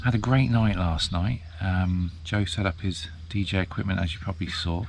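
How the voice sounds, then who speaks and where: A man in his fifties, chatting casually to the camera outdoors in a field, relaxed and rambling with plenty of ums. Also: low voice, normal speed, creaky, slight background noise. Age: 50s. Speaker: vlogger. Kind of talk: casual vlog chat.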